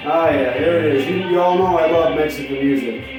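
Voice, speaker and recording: A man's voice: a little high, a little fast, dull audio. A man giving a casual, enthusiastic, folksy hands-on demonstration as a radio restorer, filmed on a smartphone. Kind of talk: casual hands-on demo chat. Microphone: handheld smartphone camera. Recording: roomy phone-camera sound. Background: vintage radio playing music.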